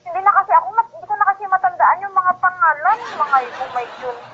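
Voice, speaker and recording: A woman with a Filipino accent and a high voice speaks quickly in a casual phone conversation. She tends to repeat and rephrase what she says. The sound is dull and muffled, as from a phone. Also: noisy background. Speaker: phone call recipient, an aunt.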